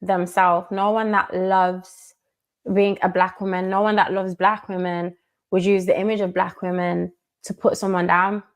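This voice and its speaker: A woman from the United Kingdom giving commentary in a measured, emphatic way, repeating her key phrases. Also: fairly low pitch, flat delivery. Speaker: commentator in a played video. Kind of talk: monologue commentary.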